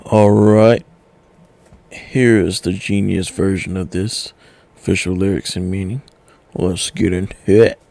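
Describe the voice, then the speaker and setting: A man's voice: low-pitched, fairly even intonation, a little slow, creaky, dark tone. A young man hosting a music reaction video, talking in a laid-back, slangy way. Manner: laid-back, slangy, calls listener bro. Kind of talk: casual reaction commentary.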